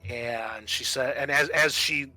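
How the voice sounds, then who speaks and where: A man's voice: medium pitch, takes it slowly, pushed and bright, dry recording. A man running a tabletop game as game master, narrating in a casual, conversational way.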